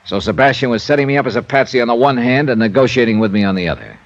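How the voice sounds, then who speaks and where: An actor playing a tough, suspicious American investigator in an old-time radio drama, with a clipped, wry, interrogating manner. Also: male voice, mid-range voice, animated, racing, lightly acted. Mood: probing, matter-of-fact. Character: tough, suspicious, sardonic male insurance investigator. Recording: dull, band-limited old radio recording.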